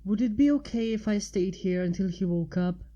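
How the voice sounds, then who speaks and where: A woman's voice: mid-range voice, moderate pace, mellow, dull audio, natural voice. A woman voicing a young female unicorn mage, earnest, caring and a little proud, in a storybook reading. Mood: worried.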